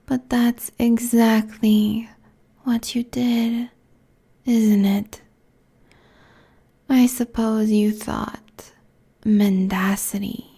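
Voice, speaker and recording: A woman performs an ancient succubus queen for an ASMR audio roleplay. She whispers in a dark, breathy voice, drawing each phrase out very slowly. Her manner is seductive, haughty and cruel, with menace held low and even, close to a binaural mic. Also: heavily acted. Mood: taunting, seductive. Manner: slow, deliberate, taunting, haughty. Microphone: close binaural microphone.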